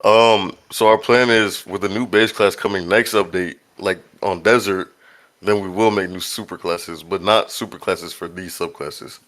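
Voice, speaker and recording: Lowish-voiced male developer hosting a chat Q&A, loose and slangy. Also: fairly even intonation, normal speed, fairly bright tone. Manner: slangy, laughs often, says bro a lot. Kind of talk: casual voice-chat Q&A.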